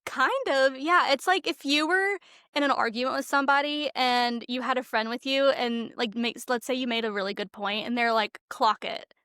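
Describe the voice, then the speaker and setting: A woman's voice: high voice, normal speed, sharp tone, clean tone, no room sound, clean studio sound. A young woman co-hosting a podcast in a casual, chatty style. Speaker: podcast co-host. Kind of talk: casual chat.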